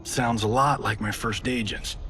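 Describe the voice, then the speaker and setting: A man's voice: on the low side, moderate pace, fairly bright tone, a little hiss or hum, natural voice. A man playing a cocky, wisecracking Hollywood action star in a video game, flippant and teasing. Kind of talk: cinematic video game drama acting.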